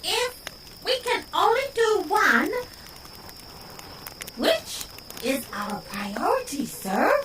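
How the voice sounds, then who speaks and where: A woman's voice: very high voice, huge pitch swings, very slow, pauses often, background noise, heavily acted. A woman voicing a small, dutiful robot soldier in a science-fiction audio drama, with deferential, singsong phrasing. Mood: earnest.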